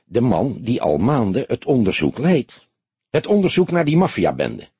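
A man's voice: medium pitch, huge pitch swings, moderate pace, warm tone, husky, no echo, exaggerated voice. A man reading the part of a small, furtive underworld barkeeper in an audiobook, hesitant and confiding.

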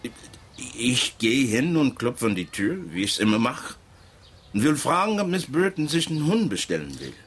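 A man's voice: mid-range voice, big pitch swings, moderate pace, breathy edge. An actor playing a wary, evasive working-class man with folksy, ungrammatical speech in a German radio drama. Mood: wary, stubborn.

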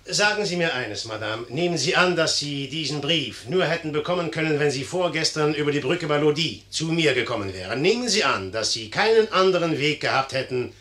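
A proud young general in a 1950s radio drama. His voice is bright and forceful and swings widely from hushed irony to emphatic declamation. He sounds bold, mocking and cynical. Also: a little high, animated, brisk, few pauses. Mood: intense, fervent. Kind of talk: 1950s German radio play acting.

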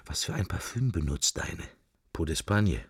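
A man's voice: deep voice, lively intonation, normal speed, gravelly, slightly rough, no room sound, clean studio sound, exaggerated voice. An actor playing a middle-aged man musing wryly to himself in clipped, wandering thoughts in a literary radio play. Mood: dreamy musing.

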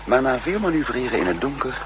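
An actor playing a shrewd, weary spymaster on the run in a 1960s radio drama, speaking deliberately and broodingly. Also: male voice, medium pitch, big pitch swings, natural voice. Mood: sober narration. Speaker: lead actor and first-person narrator. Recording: humming, hissy 1960s radio recording.

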